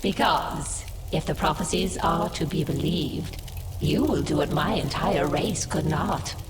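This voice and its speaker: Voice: medium pitch, a little slow, heavy background noise, lightly acted. An actor playing an ancient, cryptic non-human seer in a video game cutscene, portentous and theatrical.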